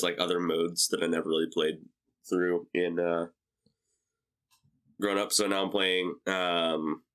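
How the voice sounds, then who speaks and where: A man's voice: low voice, fairly bright tone. A young man co-hosting a podcast, sounding stuffy from a head cold, casual with dry jokes. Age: young adult. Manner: dry, joking, laid-back. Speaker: podcast co-host. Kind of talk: casual chat between brothers.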